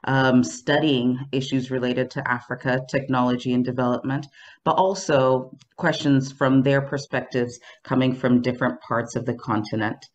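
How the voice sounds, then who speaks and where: A woman professor moderating a conference interview over a video call, clear and organized as she introduces the guest and panel. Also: extremely low pitch, fairly even intonation, moderate pace, lo-fi sound. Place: room with a colorful painting behind. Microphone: video call, earphones.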